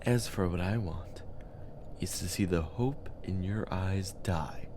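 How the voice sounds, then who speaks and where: Man acting a low, slow, vengeful villain. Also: noisy background. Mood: cold resolve. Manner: deliberate, halting, seething villain monologue. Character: bitter, vengeful glowing undead man, coldly menacing. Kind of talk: audiobook drama acting.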